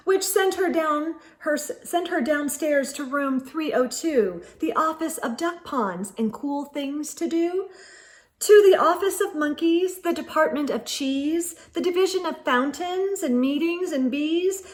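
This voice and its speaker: High-pitched woman reading a children's story, unhurried. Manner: animated, measured storytelling for young children. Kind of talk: expressive picture-book read-aloud in rhyme.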